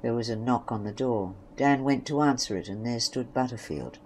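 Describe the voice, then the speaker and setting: A male narrator reads a scripted story. His pitch is average for a man, and a light layer of background noise sits under the voice. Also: normal speed.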